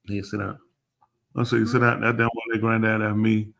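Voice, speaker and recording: A man, co-hosting a live show and chatting casually with animated exclamations. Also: on the low side, brisk, creaky. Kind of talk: casual chat.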